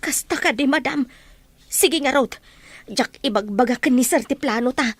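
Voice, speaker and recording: An actress playing a loyal, nervous household helper in an Ilocano radio drama. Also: female voice, high voice, normal speed, dry recording. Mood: deferential, compliant.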